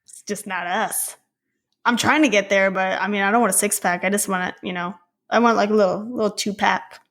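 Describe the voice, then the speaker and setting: A woman's voice: mid-range voice, fairly even intonation, brisk, fairly bright tone. A woman co-hosting a podcast in casual chat, with an informal, playful, slangy way of talking. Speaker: podcast co-host.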